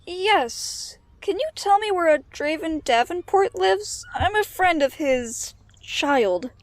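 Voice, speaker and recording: Woman acting as a high, lively pony princess. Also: takes it slowly, no echo, heavily acted. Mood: polite. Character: kindly pony princess mentor and teenage alicorn princess. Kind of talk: audio drama acting.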